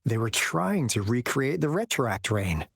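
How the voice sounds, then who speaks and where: A man playing an easygoing, curious, scholarly explorer in a video game, musing aloud in an expressive way. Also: mid-range voice, unhurried, creaky, breathy edge, dry recording, studio quality, full character voice. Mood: intrigued, explanatory. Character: easygoing, curious adult male explorer with scholarly know-how.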